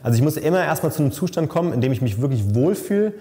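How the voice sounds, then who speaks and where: Bike fitter guy, mellow dark voice, explains slow n thoughtful, repeats words, echoey lab. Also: fairly high pitch, very animated. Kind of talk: casual expert explanation. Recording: long reverb in a lab room.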